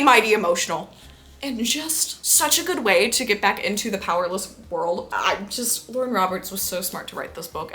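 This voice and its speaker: Young female book vlogger, bright tone, mid pitch, casual gushing delivery with emphatic repeats. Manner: gushing, effusive, emphatic repetition. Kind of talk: casual enthusiastic chat to camera.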